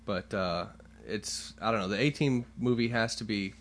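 Guy on a podcast, kinda low voice, loud and jokey, swears a lot. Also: moderate pace, a little hiss or hum. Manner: louder, leans into the mic, joking and profane. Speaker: podcast co-host. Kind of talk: casual chat.

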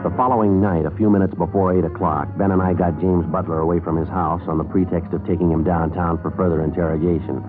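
Actor as low-voiced deadpan police detective, old radio. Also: male voice, quick pace, few pauses, natural voice. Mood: deadpan, businesslike. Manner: deadpan, clipped. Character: terse, matter-of-fact male police detective sergeant. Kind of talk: 1950s radio crime drama narration. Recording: muffled old radio broadcast, music behind.

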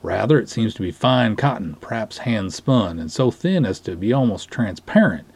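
Male reader as florid, dignified patrol cop telling his tale, husky, animated pitch, storybook drama. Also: dry recording, exaggerated voice. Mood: musing. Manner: pompous, florid, deliberate storytelling. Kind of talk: dramatic short-story reading.

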